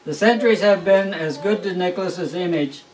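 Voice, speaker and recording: A man's voice: high-pitched, moderate pace, a little hiss or hum. An older man, a grandfather, reads a Christmas story aloud at a family home in a wry, amused storytelling manner. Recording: roomy, distant home recording.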